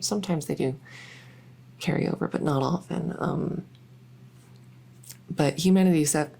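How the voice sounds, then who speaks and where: A woman's voice: sits low, vocal fry, darkish tone. A woman playing a shy, lonely, socially awkward vampire who wants friends, talking in a hesitant, rambling way in an ASMR audio roleplay. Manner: hesitant, halting, rambling, full of self-corrections.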